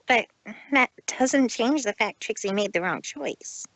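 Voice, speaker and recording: Woman voicing a high-pitched, formal pony mare. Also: expressive, fairly bright tone, no echo, full character voice. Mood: doubtful. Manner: formal, halting, refers to herself by name. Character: proud, uneasy mare who speaks in third person. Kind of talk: fan fiction dramatic reading.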